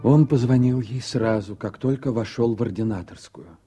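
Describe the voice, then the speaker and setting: A man's voice: mid-range voice, normal speed, mellow, soft background music. A man giving scripted literary narration for a radio play.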